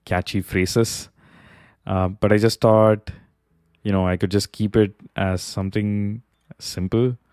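A man whose first language is not English, hosting a solo podcast and thinking aloud with many pauses and filler words. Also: sits low, a little mellow, smooth, dry recording, clean studio sound. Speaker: podcast host. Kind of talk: solo reflective podcast monologue. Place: Bay Area, California.

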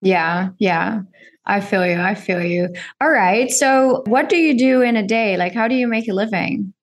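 A woman's voice: mid-range voice, normal speed. Chatty Dutch-accented woman host. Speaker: podcast host. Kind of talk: casual interview conversation.

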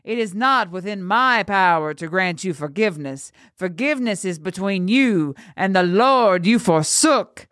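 A woman's voice: medium pitch, lively intonation, unhurried, few pauses, a bit bright, no room sound, studio quality. A woman voicing a devout male priest and father, solemnly preaching while holding back sorrow. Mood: solemn urging. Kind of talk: dramatic storytelling.

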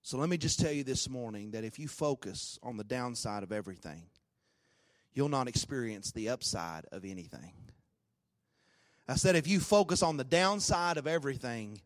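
A man preaching a sermon with a hoarse, raspy voice, swinging between low talk and shouted, sung-out peaks. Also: lively intonation, moderate pace. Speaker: pastor. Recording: long hall reverb.

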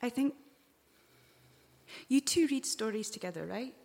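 A woman's voice: a little high, expressive, measured pace, vocal fry, mellow. A woman playing a practical, kindly crewmate in a live audio drama, with a careful, slightly hesitant way of talking. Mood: gently consoling. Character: practical, kindly female crewmate logging recordings. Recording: echoey live hall, reverberant.

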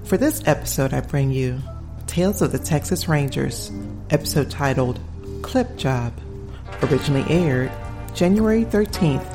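A woman presenting a scripted, measured introduction to a vintage radio show as its host. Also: low-pitched. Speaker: podcast host.

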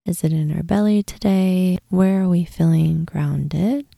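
Female meditation host. Slow, lowish voice, gentle and hushed at times, soothing instructional read. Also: gravelly, no echo, studio quality.

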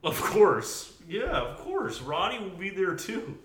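A man's voice: high-pitched, big pitch swings, slow, fairly bright tone, a little hiss or hum, full character voice. Man playing affectionate mother of grown daughter, audiobook dialogue, very varied intonation, roomy echo. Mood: agreeable.

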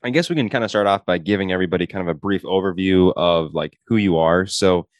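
A man's voice: deep voice, fast talker, no room sound. A man hosting a podcast, asking his guest friendly, encouraging questions. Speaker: podcast host. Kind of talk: podcast interview.

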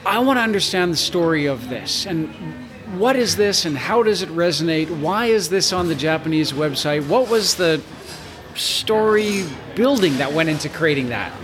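A man's voice: a little high, lively intonation, normal speed, crowd noise. A man hosting a conversational business interview, speaking in a reflective way.

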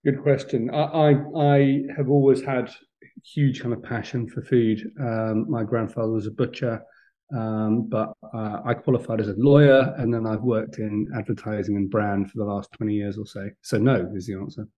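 A man's voice: fairly low pitch, fairly even intonation, normal speed, muffled recording. A middle-aged man, a podcast guest telling stories in a chatty way with many ums and restarts. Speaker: podcast guest, food business founder. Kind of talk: casual interview chat.

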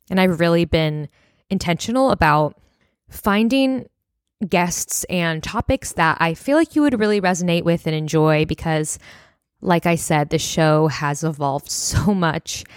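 A woman in her twenties, a little hoarse, hosting a casual solo podcast and chatting with lots of fillers. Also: deep voice, no room sound, clean studio sound. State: slightly hoarse, voice near breaking. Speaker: podcast host.

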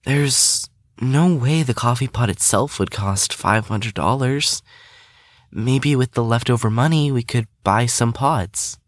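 Man acting slow-spoken, level-headed young patient. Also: mid-range voice, no room sound, studio quality, natural voice. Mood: matter-of-fact. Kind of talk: audio drama acting.

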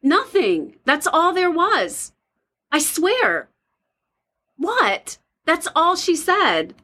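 A woman's voice: very high-pitched, huge pitch swings, extremely slow, sharp tone, exaggerated voice. An actress playing a young woman, a worried and defensive roommate with a hesitant manner, in an audio drama. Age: young adult. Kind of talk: naturalistic audio drama acting.

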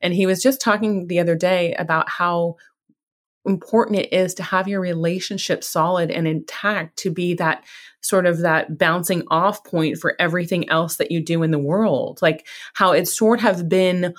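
Woman podcast host, lower pitch; encouraging, chatty delivery. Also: steady tone, moderate pace. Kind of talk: conversational interview.

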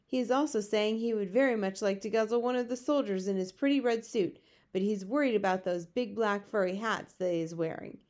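A woman voicing a gentle, gloomy male giant from a children's storybook, speaking in muddled homespun grammar with invented words. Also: mid-range voice, a little fast, close to an everyday voice. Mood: musing. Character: big friendly giant, male, gentle, gloomy, word-mangling. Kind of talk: children's storybook character reading.

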